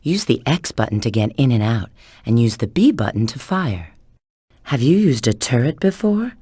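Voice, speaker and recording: A self-assured female field agent from a video game. Her pitch moves around in a lively way as she briefs an ally with clipped, instructive tips. The recording is dry, with no room sound. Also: moderate pace, slightly rough, no echo, heavily acted. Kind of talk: video game tutorial and mission dialogue.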